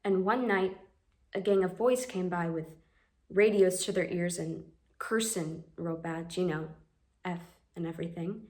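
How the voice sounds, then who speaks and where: A woman's voice: lowish voice, measured pace, darkish tone, lightly acted. A woman performing a monologue as a plainspoken young woman haunted by a cruel memory, telling it in simple words. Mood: wistful. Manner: plainspoken storytelling, builds to screams. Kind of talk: audition monologue.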